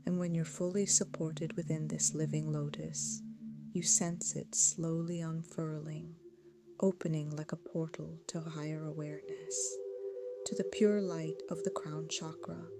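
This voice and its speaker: A woman leads a guided meditation in a lowish voice with a pronounced creak. Her delivery is measured, soothing and hypnotic, softening into a hush as phrases end. Music plays clearly behind her. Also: little pitch movement.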